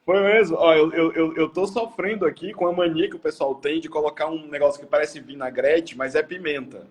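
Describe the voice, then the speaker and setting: A man's voice: fairly high pitch, fairly even intonation, fairly quick. A man in his forties from Recife, a guest talking in an easygoing, conversational way over a video call. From Recife, Pernambuco, Brazil. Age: 40s. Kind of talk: casual interview chat.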